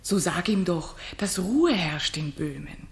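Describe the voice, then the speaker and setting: A woman's voice: lowish voice, expressive, measured pace. An actress playing a gracious, witty queen in a 1960s German radio drama, with playful, courtly eloquence. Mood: composed. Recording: roomy 1960s radio studio.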